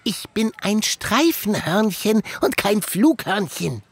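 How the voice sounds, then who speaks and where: A woman's voice: medium pitch, big pitch swings, takes it slowly, husky, dry recording, exaggerated voice. A woman voicing a small, impatient male chipmunk with a scratchy voice and emphatic delivery in an audio drama.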